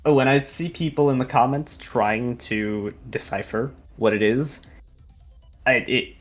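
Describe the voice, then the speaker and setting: A young adult video editor chatting casually on a behind-the-scenes livestream, in a halting, rambling, informal way. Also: mid-range voice. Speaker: video editor on a fan animation project. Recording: music behind the voice.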